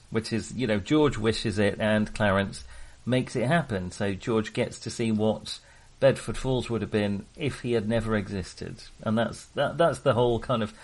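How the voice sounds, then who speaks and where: A man with a British accent discussing films casually as a podcast co-host, in an animated, wry, conversational manner. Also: lowish voice.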